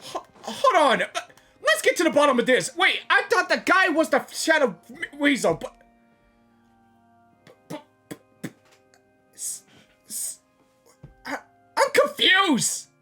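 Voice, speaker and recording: A woman doing casual live gaming reactions, excitable, stammering and repeating words. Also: high voice, slow, pauses often, faint music behind.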